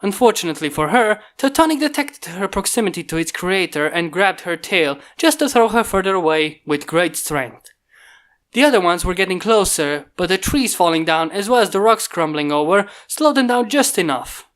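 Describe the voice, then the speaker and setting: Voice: medium pitch, expressive, moderate pace, fairly bright tone. A narrator reading a scripted fan fiction story aloud.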